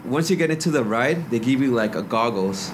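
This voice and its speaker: A man's voice: medium pitch, animated, fairly quick. A man co-hosting a casual chat podcast, rambling with plenty of filler words and swearing. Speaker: podcast co-host. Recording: noisy background hiss.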